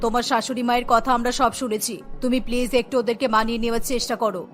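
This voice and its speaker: A woman's voice: fairly high pitch, level tone, rapid-fire, natural voice. A woman voicing a pleading regular customer in a cartoon. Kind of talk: Bengali cartoon dialogue. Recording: music behind the voice. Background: music.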